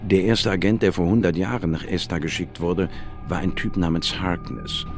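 A man's voice: low-pitched, darkish tone. An actor playing a middle-aged scientist narrating his mission dryly and wryly in a science fiction radio play. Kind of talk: scripted first-person narration, German audio drama. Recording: music under the voice.

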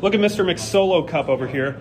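A man vlogging at a convention, teasing and excitable as he greets people. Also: fairly high pitch, fast. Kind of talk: casual convention vlog. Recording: loud music and crowd behind.

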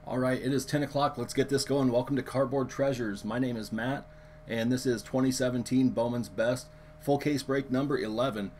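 A man with a hoarse, worn voice hosting a live card-opening stream, chatting loosely with viewers and using many fillers. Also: medium pitch, moderate pace, slight background noise. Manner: relaxed, filler-heavy, talks to chat viewers. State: hoarse, lost his voice. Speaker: card break host.

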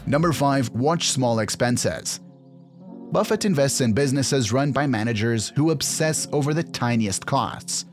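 Male explainer narrator, mid-pitched voice, measured and instructional, music underneath. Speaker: video narrator. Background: background music.

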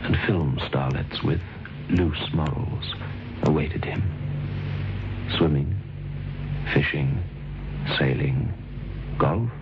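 Very deep grave storyteller. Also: male voice, takes it slowly, pauses often, background noise, full character voice. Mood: suspenseful. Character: grave, suspenseful male storyteller. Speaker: narrator. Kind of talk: old radio drama scripted narration. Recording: dull old radio recording, music behind.

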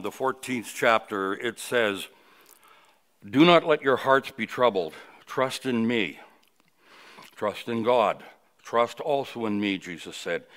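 A man of sixty-nine from Canada delivering a funeral sermon at a lectern, reflective and halting, with personal reminiscence. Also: on the low side, a bit bright, clean tone. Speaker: funeral officiant, preacher. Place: indoors at a funeral home, at a wooden lectern. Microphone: lectern microphone.

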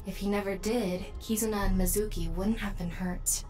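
A woman voicing a grave, sympathetic AI companion in a video game, speaking in a measured way. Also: medium pitch, fairly even intonation, darkish tone, lightly acted. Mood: grave, sad. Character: grave female AI eyeball companion, adult and sympathetic. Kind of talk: scripted video game voice acting. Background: game music.

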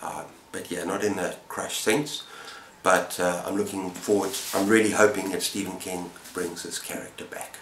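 A man's voice: deep voice, fairly even intonation, normal speed, fairly bright tone. A man in his fifties chatting casually and enthusiastically to camera as a book reviewer, rambling a little. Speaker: book reviewer and channel host. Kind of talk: casual chat to camera about books. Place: small indoor room with bookshelves. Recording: roomy echo, faint background noise.

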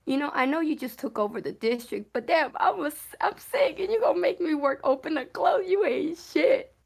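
A woman's voice: high-pitched, expressive, normal speed, vocal fry. A woman who is losing her voice to illness, chatting bluntly and telling stories while playing an online game. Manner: blunt, storytelling, swears freely. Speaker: game player. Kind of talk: casual chat.

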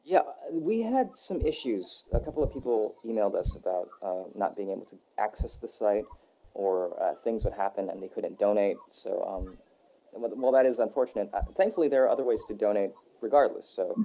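A young adult man answering interview questions in a relaxed, rambling way, with many fillers and restarts. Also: lowish voice, brisk, rough recording. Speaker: voice actor guest. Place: Texas.

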